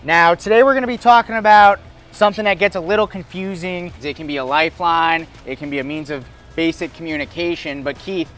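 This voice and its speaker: A man's voice: a little high, fairly even intonation, fairly quick, fairly bright tone. A man in his thirties hosting casually, presenting outdoors on a lapel mic. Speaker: host. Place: outdoors at a marina dock. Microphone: clip-on lapel mic.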